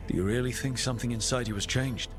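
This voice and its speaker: An actor playing a supportive, level-headed adventuring leader in a fantasy video game, sincere and understated. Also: male voice, mid-range voice, steady tone, fast, soft background music, natural voice. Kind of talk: video game dialogue acting.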